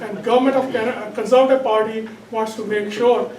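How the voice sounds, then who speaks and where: A middle-aged man with a Pakistani accent and a hoarse throat, giving a halting campaign speech as a political candidate. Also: high-pitched, steady tone, darkish tone. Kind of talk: campaign speech to a community audience. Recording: echoey hall, distant mic, background noise.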